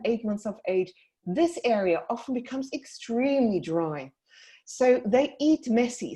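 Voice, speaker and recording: A woman with a South African accent, a paediatric dietitian presenting a webinar and advising parents in a brisk, explanatory way over a computer mic. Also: medium pitch, mellow.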